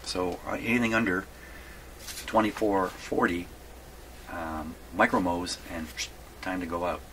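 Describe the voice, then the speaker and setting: Low-voiced man over clear background noise. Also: steady tone, unhurried, a bit bright. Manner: rambling, self-questioning, mutters to himself. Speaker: presenter of radio repair videos. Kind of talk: casual hands-on demonstration, thinking aloud. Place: small home workshop. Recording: clearly noisy small workshop recording.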